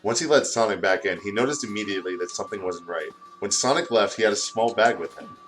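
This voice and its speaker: A man's voice: fairly low pitch, quick pace, a bit bright, faint music behind. A tired man co-hosting and reading fan fiction aloud in a casual way, stumbling over words and adding joking asides. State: tired, short of sleep.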